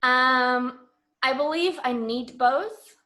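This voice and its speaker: A woman's voice: high voice, slow, sharp tone. A woman speaking as a guest in a casual radio interview, earnest, decisive and frank in her manner.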